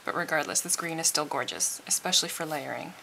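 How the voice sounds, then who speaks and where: A woman's voice: deep voice, quick pace, vocal fry, pushed and bright, slight background noise, low-quality recording. A woman giving a casual, chatty tutorial voice-over, sounding a little tired and froggy. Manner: informal, chatty. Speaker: nail art tutorial host.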